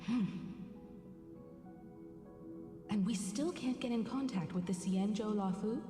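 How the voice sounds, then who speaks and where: An actress playing a composed woman crew leader in a video game, measured and anxious beneath her calm. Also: mid-range voice, lightly acted. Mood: concerned. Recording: echoey long reverb, music behind.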